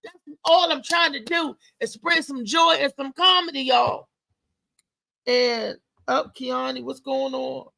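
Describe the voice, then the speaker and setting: Gravelly, bright-voiced woman hosting live. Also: high-pitched, takes it slowly, rough recording. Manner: lively, loud and exclamatory, chatty with fillers. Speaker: live show host and comedian. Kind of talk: casual live-stream chat and promotion.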